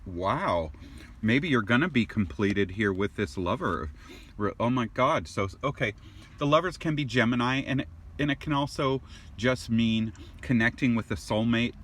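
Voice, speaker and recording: A man's voice: mid-range voice, a bit bright, slight background noise. A man giving a casual tarot reading to his viewers. He rambles, repeats and restarts words, and exclaims at the cards as he turns them over. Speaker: tarot card reader.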